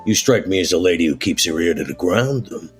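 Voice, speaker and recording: A man voicing a gruff, laid-back male vampire in a tabletop game, gravelly and husky, with slangy, folksy, hesitant phrasing. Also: low voice, lively intonation, fairly quick, soft background music, full character voice. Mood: wary, casual.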